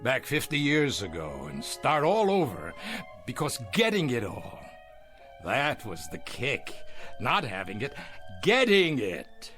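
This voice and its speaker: An actor playing a ruthless, boastful older tycoon in an audio drama, with a theatrical, sardonic manner. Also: male voice, medium pitch, huge pitch swings, slow pace, bright, forceful tone, faint music behind, exaggerated voice. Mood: restless longing. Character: ruthless aging male tycoon, boastful, hungry, nostalgic for hometown.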